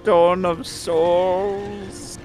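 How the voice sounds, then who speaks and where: A man's voice: high-pitched, little pitch movement, very slow, a little mellow, faint music behind, rough recording. A man chatting casually during a co-op video game, playful and jokey. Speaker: gamer playing co-op. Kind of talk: casual gaming chat.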